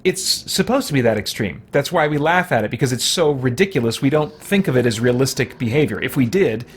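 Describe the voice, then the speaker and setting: A man's voice: medium pitch, expressive, a little fast, breathy edge. A man hosting a game livestream, thinking aloud in a casual, rambling way as he chats with viewers.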